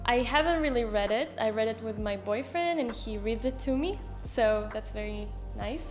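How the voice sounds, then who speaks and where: A woman's voice: fairly high pitch, moderate pace, faint music behind. A woman in her twenties from Israel, speaking English as an as an interview guest on a handheld mic, hesitant, with many fillers and restarts. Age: 20s. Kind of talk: casual interview.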